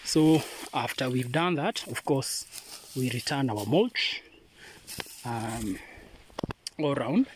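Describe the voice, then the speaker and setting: A Kenyan man, a native Swahili speaker, talks a listener through a farm task outdoors, pausing often and drifting from the mic as he works with his hands. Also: mid-range voice, animated, slight background noise. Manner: unhurried, hesitant with many pauses. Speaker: avocado farmer. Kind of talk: hands-on farming demonstration.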